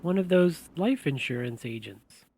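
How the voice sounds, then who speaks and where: A man's voice: a little high, lively intonation, unhurried, a little mellow, breathy edge, no echo, full character voice. A man playing a puzzled, wary husband and prospective client in an audio drama, speaking hesitantly and questioningly.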